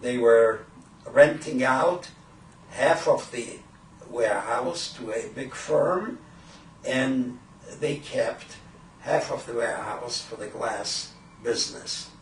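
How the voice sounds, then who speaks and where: An elderly man from Poland in his eighties, a Yiddish speaker, recalling his early life in a halting, deliberate way for an oral history. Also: mid-range voice. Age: 80s. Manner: halting, deliberate, frequent throat clearing. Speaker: Holocaust survivor giving testimony. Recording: roomy, distant microphone with faint noise.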